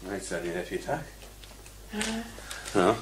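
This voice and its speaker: An actor in a radio play voicing an irritable, bossy condemned convict, grumbling and repeating himself in exasperation. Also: male voice, sits low. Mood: exasperated, bitter. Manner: exasperated, grumbling, halting repetitions. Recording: noisy radio drama recording with clicks.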